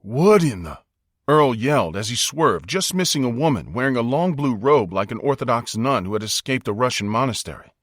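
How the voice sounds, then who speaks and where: An American man narrating a dramatic audiobook in a measured, suspenseful storytelling style. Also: medium pitch, animated, a bit bright, no room sound, studio quality.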